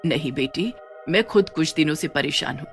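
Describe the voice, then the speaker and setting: Casting: anxious, soft-mannered village mother for a cartoon, voiced by a female narrator, quiet and subdued. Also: low-pitched, lively intonation, moderate pace, soft background music, pitched below the performer's own voice. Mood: worried. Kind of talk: cartoon story character voice.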